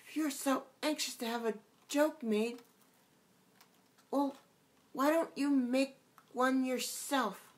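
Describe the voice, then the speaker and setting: A woman's voice: sits high, fairly even intonation, slow, long pauses, voice pushed up. Alice, a prim young girl, put on by a woman. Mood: exasperated. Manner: prim and earnest.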